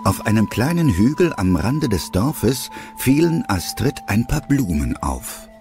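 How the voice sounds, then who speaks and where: Male narrator, low expressive voice, scripted storybook narration, faint music behind. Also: a little slow. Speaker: narrator of an audio drama.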